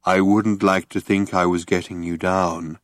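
A miserable male robot drags out every word in a low, hopeless drone, as a man reads him aloud. He sounds slow and pathetic, like a great cracked bell. The voice sits dry and close, with no room around it. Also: level tone, dry recording. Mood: gloomy. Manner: slow, pathetic, self-pitying. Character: gloomy depressed male robot, slow and droning. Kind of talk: audiobook character voice.

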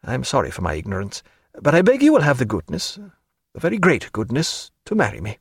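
A man reading an earnest gentleman in an audiobook, speaking in a stiff, formal manner. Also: mid-range voice, wildly swooping pitch, quick pace, husky, no echo, clean studio sound, full character voice.

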